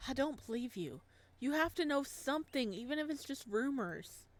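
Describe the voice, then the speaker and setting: A woman's voice: high-pitched, expressive, moderate pace, pitched above the performer's own voice. A woman voicing a searching young male ghost in a visual novel, earnest and direct. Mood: insistent. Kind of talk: visual novel dialogue read aloud.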